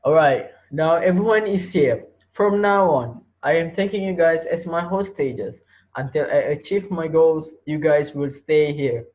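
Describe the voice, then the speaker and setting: Male art teacher turned cool hostage-taker played by a young man, higher register, lo-fi student drama. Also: measured pace, darkish tone, natural voice. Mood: calm, menacing. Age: young adult. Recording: dull lo-fi home recording.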